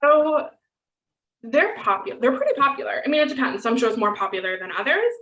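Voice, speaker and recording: Bright-voiced woman lecturing over a video call. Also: fairly high pitch, very animated, brisk. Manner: conversational, frequent "um" and "right?" tags. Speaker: guest lecturer. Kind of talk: online lecture with Q&A. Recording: distant, roomy, dull video-call audio.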